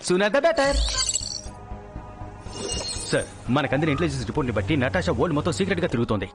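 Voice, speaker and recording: A man's voice: fairly high pitch, fast talker, long reverb tail. A man playing an eager, boastful young assistant in a comic action-film role, theatrical and mixing in English phrases. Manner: excitable, theatrical, mixes in English. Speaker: film actor. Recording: film audio over background music.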